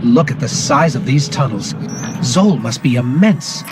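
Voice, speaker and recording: An actor playing a small, eager, anxious robot companion in a sci-fi video game, reporting in an earnest, alert way. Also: male voice, mid-range voice, animated, a little slow, lightly acted. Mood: uneasy wonder. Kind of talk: dramatic video game cutscene acting. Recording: loud music behind the voice. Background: loud game soundtrack.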